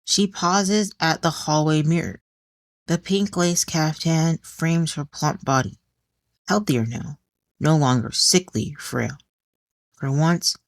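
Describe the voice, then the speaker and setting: A woman narrates a dramatic piece of fiction at a slow, deliberate pace. The recording is very dry, with no room around her voice. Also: sits low, dry recording. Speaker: audiobook narrator. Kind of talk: scripted dramatic story narration.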